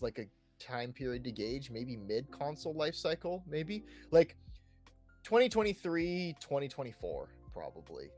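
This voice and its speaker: A man's voice: mid-range voice, a little mellow. Man hosting a casual podcast over music. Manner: chatty, frequently tagging sentences with "you know" and "right". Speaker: podcast host.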